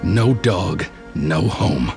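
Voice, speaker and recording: Gravelly, deep-voiced brooding warlock. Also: male voice, animated, exaggerated voice. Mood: dry, reluctant. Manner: grim, deliberate, dryly understated. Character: weary brooding male warlock, gruff outcast loner. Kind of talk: first-person scripted narration in a motion comic. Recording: loud dramatic music bed. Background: dramatic music.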